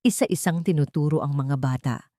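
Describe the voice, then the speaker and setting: Give a low female voice with a Filipino accent, recorded close and dry. She reads as an audiobook narrator, delivering scripted story narration in a dramatic style. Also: normal speed, warm tone, dry recording.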